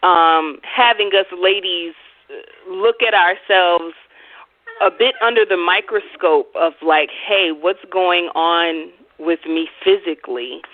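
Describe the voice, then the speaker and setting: A woman's voice: lowish voice, takes it slowly. A young woman co-hosting an astrology talk show, chatting casually with many ums and easy laughs. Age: young adult. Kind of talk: casual chat. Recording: tinny phone line.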